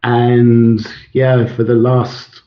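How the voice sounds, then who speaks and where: A man in his forties, born in Sweden with Swedish as his first language, a teacher and author talking in an interview, thoughtful and full of pauses and fillers. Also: medium pitch, monotone, lo-fi sound. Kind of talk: interview, casual talk.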